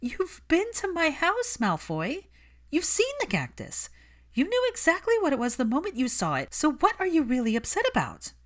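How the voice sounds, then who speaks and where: A woman's voice: wildly swooping pitch, moderate pace. A woman voicing a furious, outspoken young woman who argues insistently and indignantly in an audiobook-style story. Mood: indignant disbelief. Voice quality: shrill, screeching.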